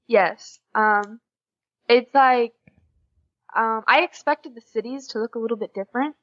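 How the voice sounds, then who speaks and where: A woman's voice: a little high, a little slow. Dull lo-fi recording, young American woman chatting. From Oklahoma, United States. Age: young adult. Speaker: podcast guest, exchange student. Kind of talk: casual interview chat. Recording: dull lo-fi audio.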